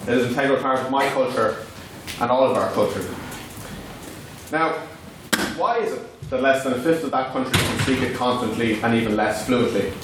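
A man whose first language is Irish, speaking in a declamatory, rhetorical way as he argues a debate motion. He is picked up from across a big hall, so his voice echoes heavily. Steady hiss sits under him. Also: mid-range voice, fairly quick. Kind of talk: formal debate speech. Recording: echoey hall, distant mic, noisy.

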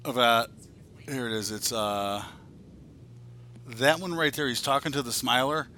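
A man's voice: fairly even intonation, measured pace, bright, forceful tone. A man in his forties co-hosting a podcast, talking in a casual, scattered, rambling way with a lot of swearing. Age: 40s. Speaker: podcast co-host. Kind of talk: casual, unscripted chat. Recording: faint rumbling background noise.

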